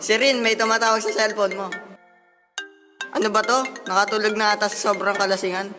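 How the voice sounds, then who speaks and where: A man's voice: high-pitched, little pitch movement, a little fast, close to an everyday voice. A man playing an annoyed young drinker in an amateur school radio drama. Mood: irritated. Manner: irritated. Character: annoyed young drinker answering a friend's phone. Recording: reverberant room with faint music.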